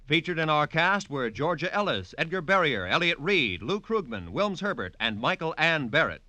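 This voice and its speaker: An American man reading scripted credits and promotions as a 1940s radio announcer, crisp and formal, on a vintage broadcast recording. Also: on the high side, sing-song, normal speed, pushed and bright, no echo.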